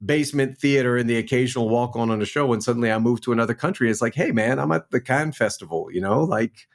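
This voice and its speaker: A man in his forties from New Orleans, a guest actor telling his career story in a relaxed, chatty way on a remote call. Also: mid-range voice, fast talker, vocal fry. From New Orleans, Louisiana. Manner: relaxed, storytelling, frequent "man" and "you know". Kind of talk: casual interview chat.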